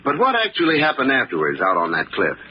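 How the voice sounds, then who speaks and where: An actor playing a skeptical police inspector in an old-time radio drama, formal, probing and brisk. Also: male voice, mid-range voice, huge pitch swings. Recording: dull old radio recording, faint noise.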